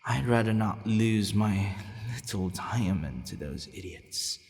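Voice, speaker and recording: A man's voice: fairly low pitch, lively intonation, slow. A man playing a haughty, possessive vampire who is gradually growing fond of his captive, in an ASMR roleplay, with a teasing, commanding manner and hesitant asides. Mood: stern, commanding. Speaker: audio roleplay voice actor. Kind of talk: ASMR boyfriend roleplay acting. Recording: long reverberant hall echo.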